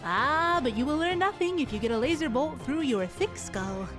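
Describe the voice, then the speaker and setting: A woman playing an excitable, plucky young inventor in a retro radio-style adventure serial, speaking earnestly and expressively. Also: sits high, normal speed, over music. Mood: urgent, firm.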